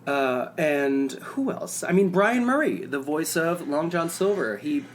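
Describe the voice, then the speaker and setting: A podcast co-host in casual, animated chat, talking at a middle pitch. Faint background noise sits under the voice. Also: measured pace. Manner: gushing, animated, fan-like.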